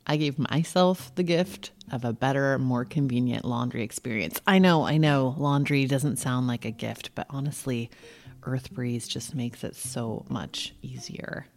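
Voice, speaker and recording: A woman's voice: extremely low pitch, unhurried, a little mellow. A woman hosting a podcast, speaking in a casual, conversational way. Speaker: podcast host. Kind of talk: casual podcast chat and host-read ad.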